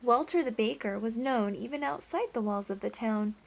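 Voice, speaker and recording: A woman's voice: fairly high pitch, vocal fry. Teacher reading a story to her class. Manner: pauses to explain and ask the children questions. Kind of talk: reading a picture book aloud to a class.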